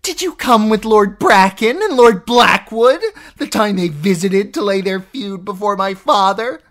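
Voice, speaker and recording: An audiobook narrator voicing a jealous, unhinged middle-aged noblewoman who accuses in a shrill, spiteful voice that rises into screeching. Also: very animated, slow pace. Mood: furious. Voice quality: shrill, piercing. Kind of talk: audiobook character voice.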